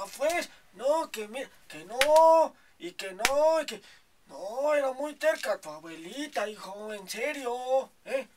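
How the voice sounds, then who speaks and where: A man's voice: extremely high pitch, slight background noise, voice pushed up. A man playing a boastful, preachy old Mexican grandfather who rambles and keeps adding "hijo, ¿eh?", in a comedy sketch. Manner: rambling, tags nearly every phrase with "hijo, ¿eh?".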